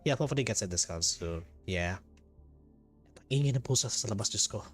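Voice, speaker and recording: A man with a low voice and a Filipino accent chats casually to his stream. He speaks in halting bursts, circling back to repeat phrases, with faint game music playing behind him. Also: lively intonation. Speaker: game livestreamer. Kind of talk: casual livestream chat. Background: video game music.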